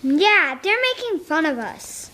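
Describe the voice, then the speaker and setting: A woman's voice: sits high, very animated, unhurried, pushed and bright, full character voice. A female performer playing a chipper young girl who co-hosts a kids' podcast in a radio play. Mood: earnest. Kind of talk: scripted radio play acting.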